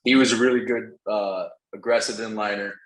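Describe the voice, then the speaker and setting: A man's voice: on the low side, steady tone, fairly quick, a bit bright. A man whose first language is Spanish, telling his story as a casual, slangy podcast guest who swears freely. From Venezuela. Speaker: podcast guest, real estate entrepreneur. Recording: roomy, echoing sound.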